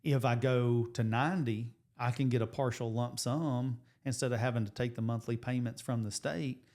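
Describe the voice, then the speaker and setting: A man in his forties, a high school coach and teacher, co-hosting a casual podcast and talking things through at length with many restarts. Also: fairly even intonation, moderate pace. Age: 40s. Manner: rambling, restarts, thinks out loud with numbers. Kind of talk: casual chat.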